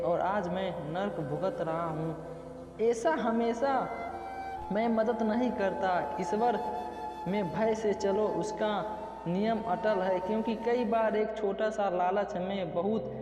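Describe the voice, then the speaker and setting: A man's voice: sits high, slow pace. A man narrating a scripted moral story in the first person. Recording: muffled, echoey reverb with loud background music. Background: music.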